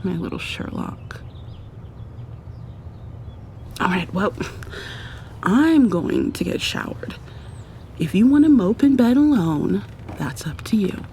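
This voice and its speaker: A woman playing an affectionate, teasing, gently commanding girlfriend in an ASMR roleplay, speaking intimately and coaxingly and often dropping to a whisper. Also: mid-range voice, sing-song, measured pace, a little hiss or hum.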